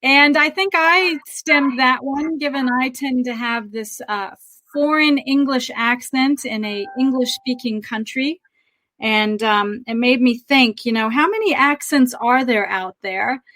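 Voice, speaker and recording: Brief: American woman co-hosting a chat podcast, thoughtful and unhurried, slightly higher voice. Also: pushed and bright. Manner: reflective, measured, with frequent ums. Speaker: podcast co-host.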